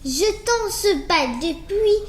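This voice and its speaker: A woman reading a children's story aloud in an animated way, slowly and with small stumbles. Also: extremely high pitch. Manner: slow, halting reading with stumbles. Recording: roomy echo with faint background noise.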